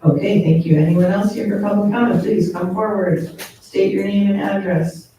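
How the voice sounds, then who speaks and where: A man chairs a council meeting in a brisk, procedural way. His voice is high but mellow. He sounds distant in a very echoey chamber. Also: fairly even intonation, a little hiss or hum.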